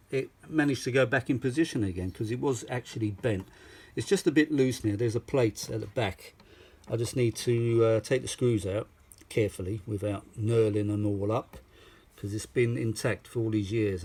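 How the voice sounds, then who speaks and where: Husky Englishman in rambling show-and-tell chat. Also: on the low side, a little mellow. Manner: rambling, exclamatory, repeats himself for emphasis. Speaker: vintage audio enthusiast showing a machine.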